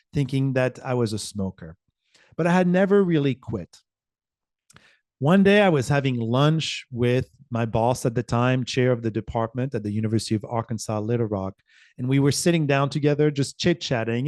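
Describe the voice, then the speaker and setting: A man from France, a communication professor, telling a short inspiring personal story with earnest, emphatic pauses. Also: mid-range voice, a little mellow, no echo, studio quality.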